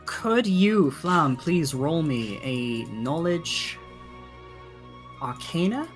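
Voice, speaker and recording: Lady game master, really low voice, chatty narration, faint music behind her. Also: lively intonation, very slow. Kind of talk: casual tabletop narration.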